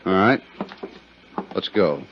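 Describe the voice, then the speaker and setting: An actor playing a gruff, matter-of-fact police detective in a 1950s radio drama, speaking plainly and tersely. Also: male voice, sits low, lively intonation, slow, full character voice. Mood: tense, cautious. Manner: plain-spoken, businesslike, terse. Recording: muffled, noisy 1950s radio recording.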